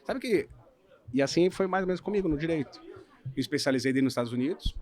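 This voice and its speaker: A man from Brazil, a lawyer in his thirties, telling the story of his career in a relaxed podcast conversation, often checking in with "né?". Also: fairly high pitch, fast talker. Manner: relaxed storytelling, hedging with frequent "né?". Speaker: guest lawyer. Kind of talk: casual podcast interview.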